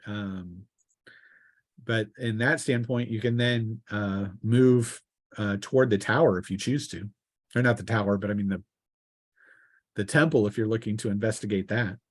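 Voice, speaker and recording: A man acting as game master in casual tabletop role-playing talk, thinking aloud in a halting way. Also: on the low side, fairly even intonation.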